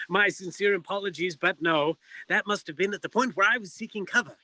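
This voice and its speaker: A man's voice: high-pitched, brisk, sharp tone, heavily acted. An actor playing a formal, dryly witty pub owner in a comic detective stage play, fussy and precise in his wording. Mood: wry, apologetic. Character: proper, dryly witty male pub owner, loyal friend. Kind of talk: comic noir stage acting.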